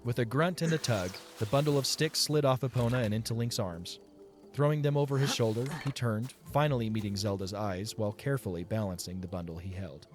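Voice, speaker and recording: A man's voice: on the low side, a little fast, faint music behind. A man reading scripted story narration as the narrator of an audio drama.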